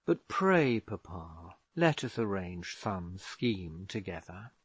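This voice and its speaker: A man's voice: low-pitched, sing-song, slow pace, no echo, pitched below the performer's own voice, full character voice. Man voicing a pleading young daughter. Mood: calm. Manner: soft, pleading, at times whispering. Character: anxious young woman, a pleading peacemaking daughter.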